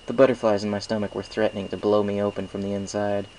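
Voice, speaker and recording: A man's voice: on the low side, level tone, fast, darkish tone, a little hiss or hum, rough recording. A man reading first-person fiction as an amateur audiobook narrator, now and then stumbling over phrases.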